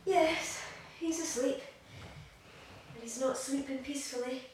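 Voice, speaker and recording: A woman's voice: high-pitched, unhurried, a little mellow. A woman playing a gushing, bossy doting mother in an audio play, breezy and flighty. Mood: briskly imposing. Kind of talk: audio drama acting. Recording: very echoey, distant, faint noise.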